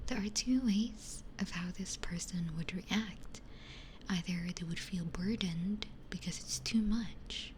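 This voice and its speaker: A woman whispers in a hushed, breathy ASMR style, very close to the microphone. She chats casually and reflectively to stream viewers, with a light hiss of ambient noise behind her voice. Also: mid-range voice. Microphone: close binaural ASMR microphone.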